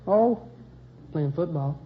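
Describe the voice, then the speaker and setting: An eighteen-year-old American man, a high school contestant giving short, casual interview answers. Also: animated, slow. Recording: muffled, noisy vintage radio recording.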